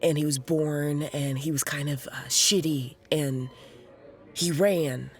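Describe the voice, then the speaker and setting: Low-voiced, halting troubled young woman. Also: steady tone. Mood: hesitant. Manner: halting, stammering. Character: troubled young woman recovering from overdose, sheepish, wry. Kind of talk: naturalistic audio drama acting.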